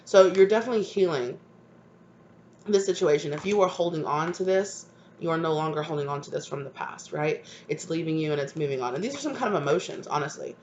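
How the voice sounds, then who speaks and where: A woman's voice: low-pitched, quick pace, a little mellow. Woman tarot reader, congested, affectionate chatty delivery, dull lo-fi recording with light hiss. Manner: affectionate, repeats "right?" and "come on now". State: stuffed up from a cold. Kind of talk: channeled tarot reading to viewers.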